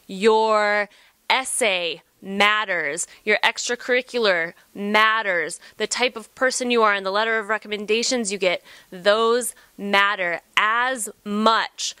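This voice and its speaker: Bright, emphatic woman with a clear voice. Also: medium pitch, no echo. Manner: emphatic, deliberate. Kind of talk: direct advice to students.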